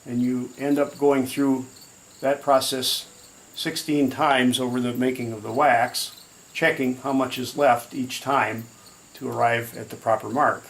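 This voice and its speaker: A man's voice: mid-range voice, flat delivery, a little slow, fairly bright tone. A man explaining a hands-on process step by step in an informal, matter-of-fact demonstration style. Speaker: hobbyist demonstrator.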